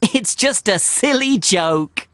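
Lively, cheerful young boy steam engine. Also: medium pitch, slow, very noisy. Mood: reflective. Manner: earnest, expressive storytelling to children. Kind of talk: children's cartoon game voice acting.

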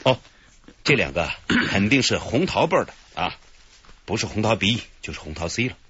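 A cocky cheery cop in a radio play, husky, bright tone, kinda muffled audio. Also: male voice, fairly low pitch, big pitch swings, normal speed, a little hiss or hum. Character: cheerful, boastful middle-aged male police detective. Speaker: police detective leading the operation. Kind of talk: broadcast radio drama acting.

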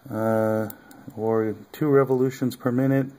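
A man casually showing his electronics project at a home workbench, talking haltingly with many ums and uhs. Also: medium pitch, little pitch movement, warm tone. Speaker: hobbyist maker.

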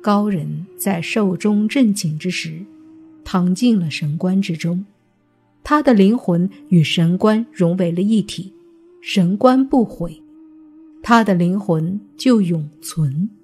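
A woman narrating a scripted suspense audiobook in a measured, deliberate storytelling manner. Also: fairly low pitch, darkish tone, breathy edge. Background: background music.

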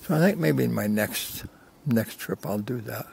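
A man's voice: mid-range voice, normal speed, creaky, darkish tone, slightly rough. A man of about seventy narrating his hike casually, in a folksy, self-deprecating way, into a handheld camera outdoors. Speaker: hiking vlogger. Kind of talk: casual narration while hiking. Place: outdoors on a rocky desert mesa slope. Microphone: handheld camera at arm's length.

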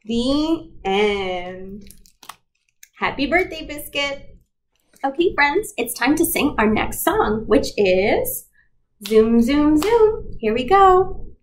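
A young woman hosting a toddler storytime in an encouraging, sing-song, expressive manner. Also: sits high, slow pace. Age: young adult. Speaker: library storytime host. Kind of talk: toddler storytime with songs and rhymes. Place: indoors in front of a decorated backdrop.

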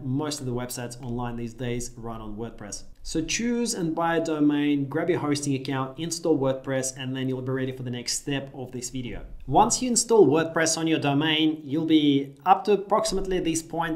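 A man in his thirties from Russia, a Russian native speaker, giving an upbeat screen-share tutorial in a small room. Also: mid-range voice. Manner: upbeat, direct instructional talk. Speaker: affiliate marketing tutor.

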